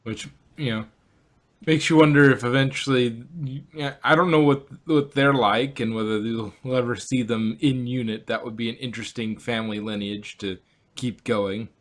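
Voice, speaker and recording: A man co-hosting a relaxed review conversation. He explains references at length, often restarting his sentences. His pitch is ordinary for a man. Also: normal speed. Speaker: podcast co-host. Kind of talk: casual review chat.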